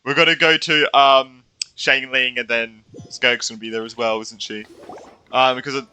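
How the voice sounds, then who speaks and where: Guy streaming a game, super bright voice, animated and reactive, bit of music behind. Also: medium pitch, moderate pace, clean tone, poor audio. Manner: excitable, reactive, swears casually. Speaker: gaming streamer. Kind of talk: casual game playthrough commentary.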